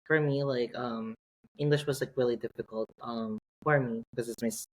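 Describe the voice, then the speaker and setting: A man's voice: mid-range voice, fairly even intonation, low-quality recording. A man, a Tagalog speaker, chatting as a guest on a podcast with lots of fillers and restarts. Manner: halting, many fillers and restarts. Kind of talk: casual podcast chat.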